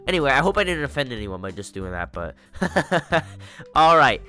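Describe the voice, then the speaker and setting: A young man with a congested, stuffy voice giving excitable, jokey gameplay commentary to his viewers. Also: mid-range voice, moderate pace, soft background music. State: congested from a cold. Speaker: gaming YouTuber.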